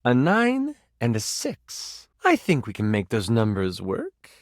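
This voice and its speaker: A man's voice: medium pitch, huge pitch swings, slow pace, dry recording, studio quality. An actor playing an exasperated, sardonic male game master, a living die in a video game, narrating with theatrical flair. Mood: wry narrating. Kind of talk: tabletop game narration with banter, video game voice acting.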